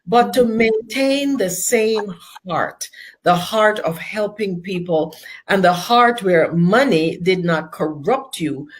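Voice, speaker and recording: A woman's voice: mid-range voice, lively intonation, takes it slowly, slight background noise. A woman hosting a faith-based interview, enthusiastic and encouraging toward her guest. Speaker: host.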